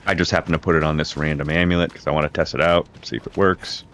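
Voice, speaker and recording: A man with a low-pitched voice giving casual, rambling gameplay commentary, often restarting and correcting himself. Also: fairly even intonation, fast talker, a bit bright, slight background noise. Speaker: gaming video creator. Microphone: home streaming mic with crackle.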